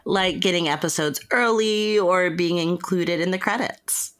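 A woman's voice: on the low side, measured pace, fairly bright tone, soft background music. A woman co-hosting a podcast, chatting casually in an informal, emphatic way and often saying "like". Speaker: podcast co-host.

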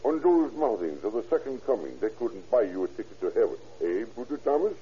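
A sinister scientist. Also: male voice, on the low side, sing-song, fairly quick, mellow, a little hiss or hum. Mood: gloating. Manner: stammering, sly, with menacing chuckles. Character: sinister German scientist, middle-aged man, murderous. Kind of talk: 1940s radio acting. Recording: dull old radio recording.

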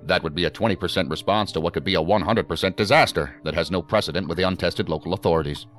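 An actor playing a measured senior federal agency director in a sci-fi audio drama, formal and deliberate in his speech. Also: deep voice, fairly bright tone. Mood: commanding, businesslike.

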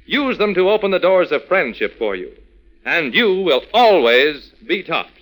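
A man's voice: fairly high pitch, big pitch swings, unhurried, fairly bright tone, low-quality recording. A man announcing in a polished, formal 1940s radio promotional style. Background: music.

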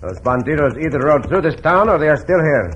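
An actor playing a shrewd Mexican cowboy hero, speaking formal accented English with authority in a radio Western. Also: male voice, on the high side, sing-song, fairly quick, a little hiss or hum. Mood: commanding, cautious. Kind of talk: old-time radio Western acting. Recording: muffled, slightly rough old radio recording.

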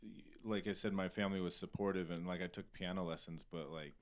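A man with an American accent and a low voice hosts a relaxed podcast interview. He talks informally and loosely, with plenty of slang, as if chatting with someone he knows. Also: quick pace. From Arizona, US. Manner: laid-back slangy talk peppered with "like". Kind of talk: casual conversational interview.

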